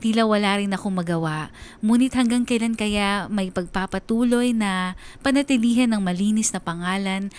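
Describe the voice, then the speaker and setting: A woman's voice: medium pitch, normal speed, a little mellow, clear, resonant tone. A woman with a Filipino accent narrating a scripted radio drama in a storytelling style.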